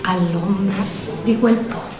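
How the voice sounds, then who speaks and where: A woman's voice: mid-range voice, steady tone, takes it slowly. A woman with a Sicilian accent performing dramatic theatrical storytelling in verse, declamatory and lamenting. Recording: echoey room, distant from the mic.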